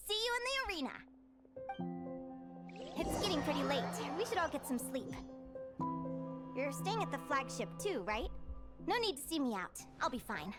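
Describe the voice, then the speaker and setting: High-pitched determined tamer girl, earnest and encouraging, loud music behind. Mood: friendly. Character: young woman monster tamer, upbeat and determined.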